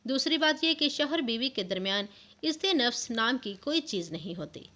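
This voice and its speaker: A woman voicing a wise, patient elderly wife who gives calm, assured advice in a narrated story. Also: a little high, normal speed. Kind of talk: storybook narration of interview answers. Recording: music under the voice.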